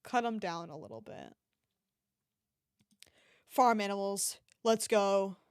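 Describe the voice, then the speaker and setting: A woman's voice: mid-range voice, takes it slowly, clean tone, dry recording, studio quality. A woman livestreamer chatting casually and reacting to an online shop with playful, mock-dramatic exclamations.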